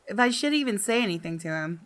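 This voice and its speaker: A woman who hosts gaming videos, reading visual novel narration aloud in an expressive storytelling manner. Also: mid-range voice, quick pace.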